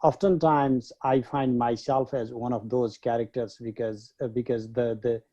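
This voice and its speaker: A man from Nepal, a guest scholar, recalling his upbringing in an unhurried way with many pauses. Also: medium pitch, fairly even intonation, warm tone, lo-fi sound. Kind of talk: reflective interview talk.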